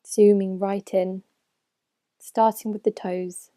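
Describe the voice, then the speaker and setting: Soothingly mellow woman guiding meditation. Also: medium pitch, flat delivery, dry recording, studio quality. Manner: gentle, measured, unhurried instruction with soft phrase endings. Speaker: mindfulness meditation teacher. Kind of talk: slow guided meditation narration.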